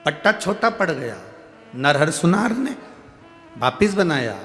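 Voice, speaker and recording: A male preacher telling a devotional story to a crowd, his voice swinging between loud proclaiming and soft, hushed passages. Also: on the high side, sing-song, measured pace. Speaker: katha preacher. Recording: reverberant hall sound with faint music behind.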